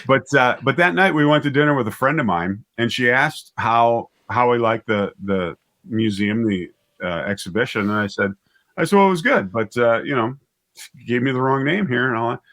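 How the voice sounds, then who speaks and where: A man in his fifties telling stories as an interview guest, conversational and halting, often repeating words and correcting himself. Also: medium pitch, lively intonation, a bit bright. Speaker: writer and director, interview guest.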